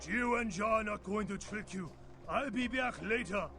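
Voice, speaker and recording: A man's voice: sits high, normal speed, sharp tone. An actor voicing a gleeful, vengeful old man in a video game, in broken English. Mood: cheerful wheedling. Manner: broken English, crowing and cackling. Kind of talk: video game character acting.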